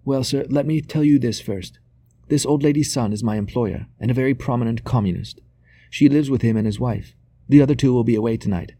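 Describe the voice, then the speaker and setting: A man's voice: mid-range voice, fairly quick, mellow, dry recording, voice pushed up, natural voice. A man voicing a nervous, servile male messenger in a novel, hasty and stammering as he pleads. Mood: nervous, urgent.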